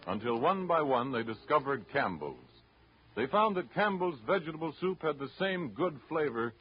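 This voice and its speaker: An American man reading a scripted 1930s radio advertisement in a polished, persuasive manner. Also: medium pitch. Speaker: commercial announcer. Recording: dull, noisy 1930s radio recording.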